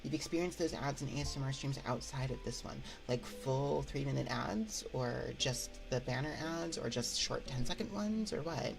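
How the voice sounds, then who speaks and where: A woman livestream host chatting casually on an ASMR stream into a close binaural mic, thinking aloud in a halting, conversational way. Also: very deep voice, vocal fry, rough recording. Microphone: close binaural microphone. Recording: background music behind voice. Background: music.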